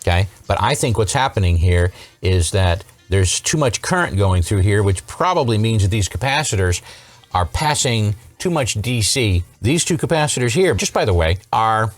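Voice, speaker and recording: A man's voice: on the low side, lively intonation, normal speed. A man giving a casual hands-on repair tutorial, explaining and thinking aloud as he goes. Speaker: vintage electronics repair host.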